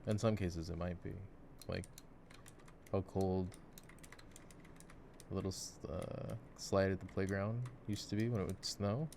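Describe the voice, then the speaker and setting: Male, very low voice, musing ASMR gamer chat, light clicking and typing behind. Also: steady tone, unhurried, gravelly, pauses often, warm tone. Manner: reflective, musing. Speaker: ASMR voice actor playing games. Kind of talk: intimate ASMR gameplay chat. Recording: clicking mouse and keyboard noise. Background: mouse clicks and keyboard typing.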